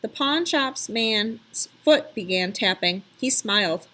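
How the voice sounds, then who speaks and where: A woman narrating a children's story aloud in an expressive, emphatic storytelling style. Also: fairly high pitch, takes it slowly.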